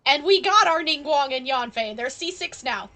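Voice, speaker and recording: A woman's voice: sits high, lively intonation, moderate pace, sharp tone. A woman live streaming a game, chatting casually and playfully with viewers. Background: game music.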